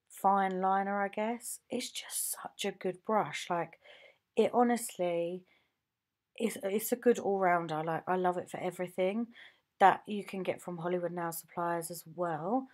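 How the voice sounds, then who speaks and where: A woman nail technician from the UK, chatting casually as she shows her home studio on a handheld phone, rambling with lots of ums and likes. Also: lowish voice. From United Kingdom. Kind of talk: casual show-and-tell vlog.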